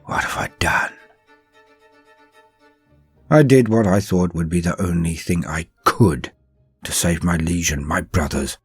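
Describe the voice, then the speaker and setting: A man voicing an ancient, tormented sorcerer warlord in a grandiose horror audio tale, speaking slowly and solemnly with theatrical pauses. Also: low-pitched, slightly rough, heavily acted. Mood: regretful brooding. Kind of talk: dramatic first-person horror audio tale. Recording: music under the voice.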